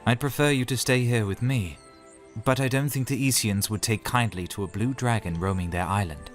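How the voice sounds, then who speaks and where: A man's voice: medium pitch, moderate pace, soft background music, close to an everyday voice. A man playing a good-natured, earnest knight commander in a fantasy audio drama. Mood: reassuring.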